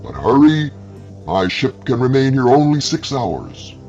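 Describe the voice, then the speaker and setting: A man's voice: medium pitch, sing-song, unhurried, creaky, darkish tone, highs cut off, soft background music, pitched above the performer's own voice. A man voicing a proud showman professor presenting an alien zoo, proclaiming theatrically like a barker in a dramatised story. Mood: grand, urgent.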